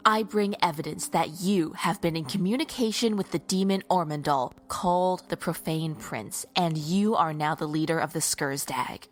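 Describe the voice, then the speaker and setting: A woman's voice: sits low, moderate pace, few pauses. A woman playing a stern, defiant holy warrior in a fantasy audio drama, speaking formally and resolutely. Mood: defiant, accusing.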